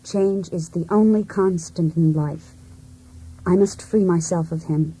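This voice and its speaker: Radio play: a wife done with her marriage; mellow, slightly rasping, introspective and deliberate. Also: on the low side, poor audio. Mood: resolute. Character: cold, disillusioned wife determined to break free. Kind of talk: radio drama monologue.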